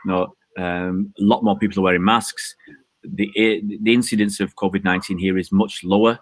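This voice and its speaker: A man's voice: low voice, steady tone, fairly quick, dry recording. A man hosting a casual online chat, talking in a rambling, joking way with many fillers from an outdoor balcony. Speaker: host.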